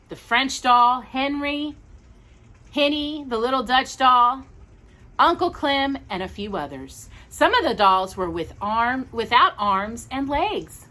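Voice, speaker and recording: A woman's voice: fairly high pitch, sharp tone. A woman hosting a storytime, reading a children's story aloud expressively with cooing asides to young listeners. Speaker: storytime host.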